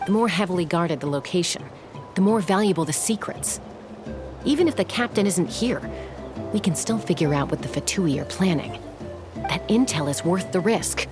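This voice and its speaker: Woman playing cool young female game scout, low pitch, confident, protective, matter-of-fact, music underneath. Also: expressive, normal speed, lightly acted. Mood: determined. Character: confident, capable young tribeswoman scout, protective older sister. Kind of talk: video game voice acting.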